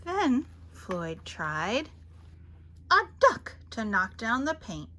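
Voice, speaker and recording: A woman reading children's picture books aloud as a storyteller, theatrical and expressive with dramatic pauses and emphasis. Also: a little high.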